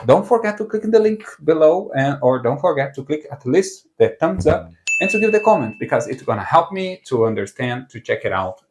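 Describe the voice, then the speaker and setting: A man's voice: mid-range voice, a little mellow. A man from southern Brazil, a native Portuguese speaker with a Brazilian accent, giving a casual online language lesson in rambling English that he often corrects as he goes. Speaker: language teacher.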